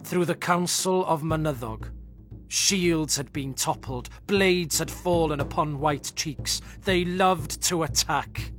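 A man reading an old war elegy in English translation, solemn and deliberate. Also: on the high side, music in the background. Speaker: reader of the poem in translation. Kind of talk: slow dramatic poetry reading.

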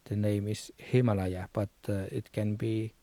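A man with a Sami accent speaks very slowly in a low, gravelly voice. He is a musician explaining his craft, feeling his way through simple English in a dry, close recording. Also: fairly even intonation, dark tone, no room sound. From Sápmi, northern Scandinavia. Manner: halting, searching for words in simple English. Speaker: Sami musician, joik singer.

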